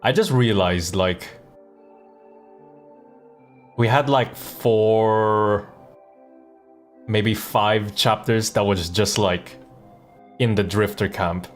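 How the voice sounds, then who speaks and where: A man's voice: on the low side, slow, faint music behind. A man giving casual, amused game livestream commentary, rambling and repeating words as he thinks aloud. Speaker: live streamer and voice actor.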